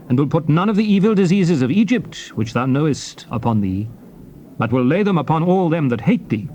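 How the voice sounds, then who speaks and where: Man acting a solemn, high-pitched scripture prophet. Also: darkish tone, natural voice. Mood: solemn, exalted. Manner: solemn, declamatory, deliberate phrasing. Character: stern, exhorting male prophet addressing his people. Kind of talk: dramatized King James scripture reading. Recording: noisy old cassette tape recording.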